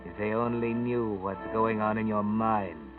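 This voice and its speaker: A man's voice: lowish voice, measured pace, lightly acted. An actor playing a sinister, taunting radio drama narrator, speaking knowingly and ominously to a guilty man. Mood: ominous, mocking. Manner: ominous, knowing, second-person storytelling. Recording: muffled old radio, music behind.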